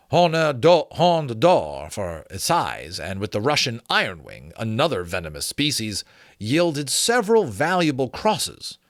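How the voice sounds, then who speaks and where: A man reading a book aloud as its host, in an expressive, emphatic, dramatic storytelling style with a casual touch. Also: mid-range voice, dry recording, studio quality. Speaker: host reading a novel aloud with his own asides.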